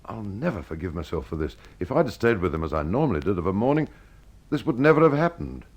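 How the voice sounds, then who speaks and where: A man acts out a young nephew in a detective tale, putting on stunned disbelief and grief. The delivery is questioning and dismayed, with pitch that leaps about. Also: big pitch swings, fast. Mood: shocked, incredulous.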